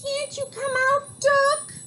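A woman voicing a tiny, excitable storybook mouse with a squeaky, exclaiming delivery. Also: slow pace, over music. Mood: worried, pleading. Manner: pleading, exclaiming. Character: tiny squeaky worried mouse who loves cake.

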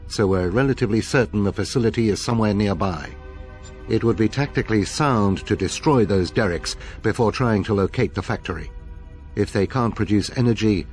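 Guy playing calm army commander doing a game briefing, lowish voice, measured, faint music. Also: lightly acted. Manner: measured, authoritative. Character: calm, methodical male military commander giving briefing. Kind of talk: scripted mission briefing.

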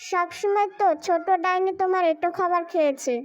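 A woman voicing a clever village child in an animated fairy tale, with a childlike manner. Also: very high voice, a little fast, lo-fi sound. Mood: sly, challenging.

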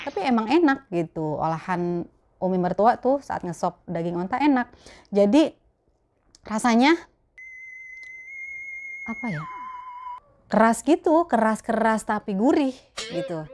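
A woman's voice: a little high, a little mellow, a little hiss or hum. A young adult woman with a Betawi Jakarta accent, chatting casually and colloquially to camera as a vlogger answering viewer questions. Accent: Betawi Jakarta Indonesian. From Jakarta, Indonesia. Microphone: clip-on lapel mic.

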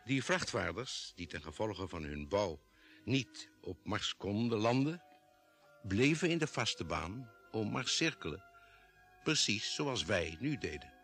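Low, animated space-expedition narrator. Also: male voice, unhurried, faint music behind, heavily acted. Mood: measured, serious. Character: spaceship doctor recounting a Mars expedition. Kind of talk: scripted narration in old Dutch radio drama.

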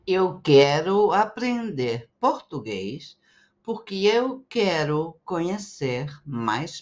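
A middle-aged woman language teacher drills short phrases in a slow, deliberate way, letting her pitch rise and fall a lot. She sounds like a home webcam recording, a little dull and lo-fi. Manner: slow, carefully articulated repetition. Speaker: Portuguese teacher. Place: indoors, webcam in a circle overlay.

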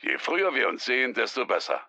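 An actor playing a nervous male professor on the phone who strains to sound calm, with a gravelly, sharp voice. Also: mid-range voice, fast, no echo, heavily acted. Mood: tense, urging.

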